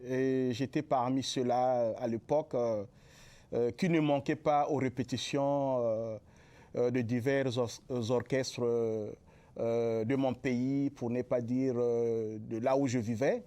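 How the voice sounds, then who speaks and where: A young man from the Republic of the Congo, a musician guest answering in a TV interview, halting with many drawn-out fillers. His is Lari. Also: mid-range voice, fairly even intonation, dark tone. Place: local TV studio.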